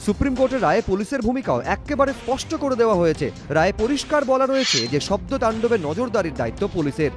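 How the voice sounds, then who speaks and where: A man's voice: high-pitched, big pitch swings, fast, few pauses. A man reading scripted news narration in a dramatic, emphatic way. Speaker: news reporter. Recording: music bed under voice. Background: background music.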